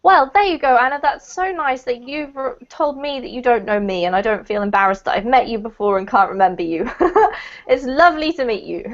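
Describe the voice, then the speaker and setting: A young woman with a high, bright-toned voice runs an online English class, upbeat and encouraging. She says "um" often. The sound is dull and lo-fi, as over a video call. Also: lively intonation, normal speed. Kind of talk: friendly online class teaching. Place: indoor room, video call. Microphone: headset headphones on a video call.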